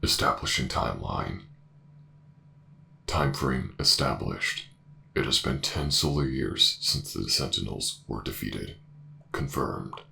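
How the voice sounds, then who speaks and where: A man voicing a formal, terse artificial intelligence war commander in a sci-fi audio roleplay, speaking in clipped, measured announcements. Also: very deep voice, gravelly, sharp tone, heavily acted. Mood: solemn, urgent.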